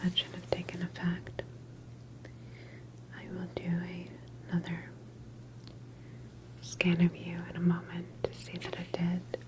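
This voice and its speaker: A woman whispering softly in an ASMR medical roleplay as a calm, reassuring doctor, explaining each step politely in a breathy voice. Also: lowish voice, low-quality recording. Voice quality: breathy, mostly unvoiced whisper. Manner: soft, unhurried, gently explanatory, polite. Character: calm, reassuring female doctor at a sci-fi government facility. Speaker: ASMR performer playing a medical examiner.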